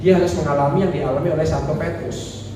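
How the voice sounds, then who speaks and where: Priest guy preaching, kinda high voice, booming echo, heard from across a hall w/ room noise. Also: quick pace, distant mic. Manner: animated, acts out quoted voices, jokes with audience. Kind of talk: sermon talk with slides. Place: church hall. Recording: echoey hall recorded from afar.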